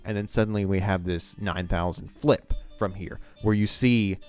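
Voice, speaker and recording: A man's voice: lowish voice, steady tone, normal speed, darkish tone, faint music behind. A man giving enthusiastic, rambling commentary on a TV scene as the host of a video essay.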